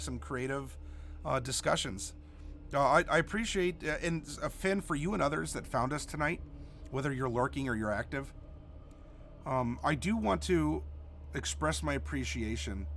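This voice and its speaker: A man with a cold, stuffy and a little hoarse, hosting a live stream in a rambling, casual way with many restarts. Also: fairly high pitch, fairly bright tone, a little hiss or hum. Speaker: stream host.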